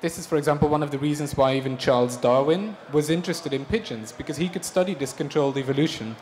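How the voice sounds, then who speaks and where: A man's voice: fairly high pitch, fast talker. Man speaking in a reverberant hall. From Belgium. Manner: relaxed, hesitant with many ums. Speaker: speaker presenting design projects. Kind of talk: conference talk. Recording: reverberant hall with faint noise.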